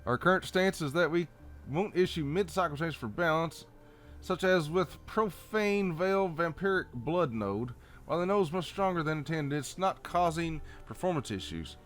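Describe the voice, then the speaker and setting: A man with a Southern American accent reads update notes aloud in a folksy, halting way, adding off-the-cuff comments. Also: on the high side, expressive, fairly bright tone, soft background music. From Southern United States. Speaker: gaming channel host.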